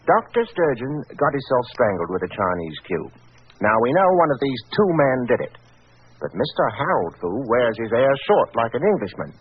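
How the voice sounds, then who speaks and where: Man acting a animated, folksy police constable. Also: medium pitch, moderate pace, natural voice. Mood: respectful, eager. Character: deferential police constable turned defiant villain. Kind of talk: 1940s radio drama acting. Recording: muffled, hissy old radio broadcast.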